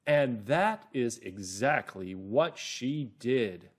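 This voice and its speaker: A middle-aged man teaching children through a read-aloud story, deliberate, explanatory and expressive. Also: medium pitch. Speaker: reading teacher.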